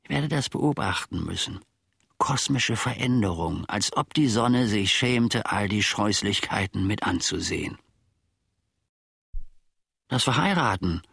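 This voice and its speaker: Grumbly old servant, lowish raspy voice, wry and confiding, radio play monologue. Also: male voice, expressive, unhurried, pauses often, fairly bright tone, no echo, studio quality, heavily acted. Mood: wry, grumbling. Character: wry, long-suffering old manservant telling his tale.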